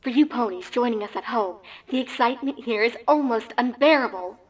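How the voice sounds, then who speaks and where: A woman voicing showy, over-excited cartoon pony sports announcers in grandiose mock commentary. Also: on the high side, close to an everyday voice. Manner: grandiose, drawn-out introductions.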